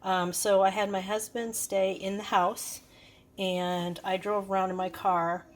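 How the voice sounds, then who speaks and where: A woman's voice: medium pitch, level tone, a little slow. A woman giving a casual, hands-on product review, explaining things in a hesitant, unscripted way. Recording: clearly noisy background.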